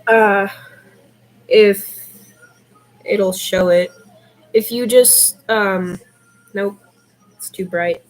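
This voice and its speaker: A woman's voice: mid-range voice, fairly even intonation, extremely slow, long pauses, rough recording. A woman reading fiction aloud in an informal, slightly unpolished storytelling style. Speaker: podfic narrator reading fan fiction.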